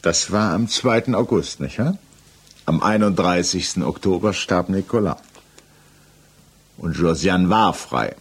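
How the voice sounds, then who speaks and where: A low, husky voice of a stern magistrate, formal and insistent. He presses each question like an accusation, in the style of sixties German radio drama. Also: a little slow, long pauses, heavily acted. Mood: insistent, accusing. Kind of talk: 1960s German radio play acting.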